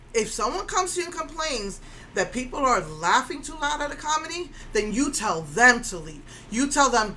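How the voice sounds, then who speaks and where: A woman in her fifties telling a story in an animated, indignant way, with a strong voice. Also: medium pitch, a little hiss or hum, rough recording. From Puerto Rico. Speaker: vlogger. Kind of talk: casual storytelling rant.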